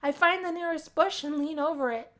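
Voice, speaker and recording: High-pitched woman narrating a game. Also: brisk, a bit bright. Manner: acts out the characters' dialogue. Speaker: host reading a visual novel aloud. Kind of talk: expressive storybook-like narration of a game.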